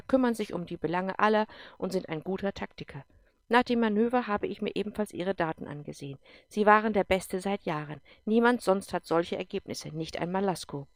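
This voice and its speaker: A woman reading aloud and voicing a strict, commanding female general who talks in clipped, forceful sentences. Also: medium pitch, dry recording, lightly acted. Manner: clipped, forceful, brooks no argument. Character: stern, commanding female general, middle-aged.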